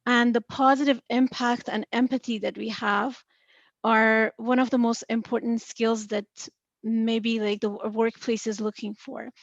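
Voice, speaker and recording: A woman's voice: on the high side, little pitch movement, measured pace, dry recording. Accented woman, slightly muffled video call. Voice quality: noticeable non-native accent. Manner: earnest, explains with examples and many fillers. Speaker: panellist in finance. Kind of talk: virtual panel discussion.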